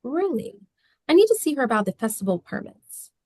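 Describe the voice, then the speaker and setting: A woman's voice: high-pitched, sing-song, fairly quick, darkish tone, no echo, studio quality, voice pushed up. A woman playing a businesslike county official and mother in a drama table read.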